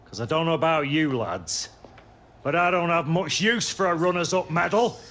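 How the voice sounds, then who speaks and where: A man's voice: on the high side, lively intonation, moderate pace, fairly bright tone. An actor playing a driven, demanding male football coach giving motivational team talks in a video game. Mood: firm, motivating. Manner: motivational, exhorting. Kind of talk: video game cutscene acting, team talk.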